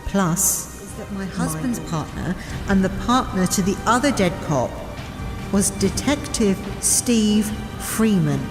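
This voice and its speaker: A woman playing a grave, determined investigator speaking deliberately in an audio drama. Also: medium pitch, lively intonation. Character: grave, determined married woman laying out evidence. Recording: echoey reverberant hall, music behind.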